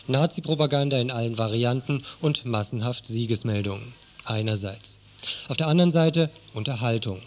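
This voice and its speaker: A man narrating a scripted radio news feature in an earnest, critical tone. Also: medium pitch.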